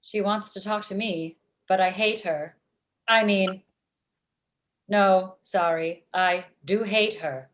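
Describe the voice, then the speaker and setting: A woman's voice: mid-range voice, takes it slowly, pauses often, close to an everyday voice. A woman voicing an earnest, literal-minded robot with stilted formal phrasing in a comedy table read over a video call. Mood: uneasy. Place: small room at home, video call. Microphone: video call computer microphone.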